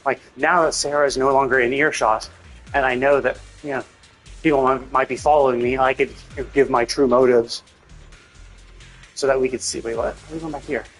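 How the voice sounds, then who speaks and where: A man's voice: medium pitch, steady tone, brisk, low-quality recording. A man giving casual, sweary live game commentary as a streamer, puzzling things out aloud. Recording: game music behind the voice.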